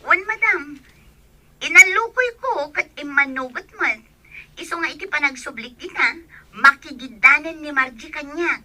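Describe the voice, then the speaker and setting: Woman as high-voiced persuasive young waitress. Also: animated, normal speed, bright, forceful tone, heavily acted, poor audio. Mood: polite. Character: friendly, persuasive young bar waitress recruiting her friend. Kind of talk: scripted radio-style drama.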